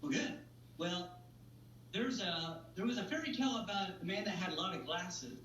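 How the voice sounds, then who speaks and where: A man's voice: high-pitched, expressive, moderate pace, a bit bright. A man chatting as a magician guest, presenting with playful, folksy patter in an interview style. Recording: roomy, distant mic, faint noise and hum.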